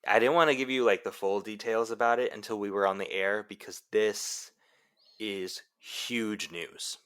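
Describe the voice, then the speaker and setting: A young college radio host, played by a man, with a bright, punchy voice. He is excitable and adamant, bantering like talk radio and leaning on dramatic pauses. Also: lowish voice, clean tone, lightly acted. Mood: building suspense. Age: young adult.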